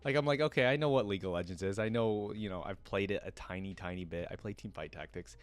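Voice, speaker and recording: A man gives casual reaction commentary as the host of a video. His voice sits a little low and sounds dry and close, and he rambles along with open enthusiasm. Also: quick pace, smooth, no echo.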